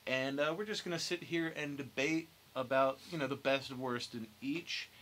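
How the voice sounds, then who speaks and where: A man's voice: mid-range voice, moderate pace, fairly bright tone. A young man hosting a casual group discussion. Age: young adult. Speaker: podcast host. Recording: clear background noise.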